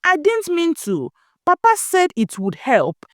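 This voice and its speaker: A woman's voice: very high voice, wildly swooping pitch, unhurried, a bit bright, no echo, clean studio sound, pitched above the performer's own voice, full character voice. A woman storyteller voicing an anguished young woman who whimpers and pleads in a folktale. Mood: pleading.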